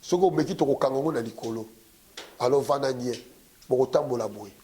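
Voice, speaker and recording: A man's voice: mid-range voice, fairly quick, mellow. A man giving a rousing political speech at a conference, impassioned and leading the crowd in call-and-response chants. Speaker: conference speaker.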